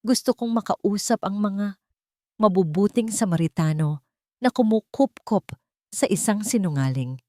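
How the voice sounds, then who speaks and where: A woman's voice: animated, unhurried, darkish tone, no echo, clean studio sound, lightly acted. A woman storyteller voicing a haughty, mocking rich society woman with a contemptuous, taunting manner. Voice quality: shrill.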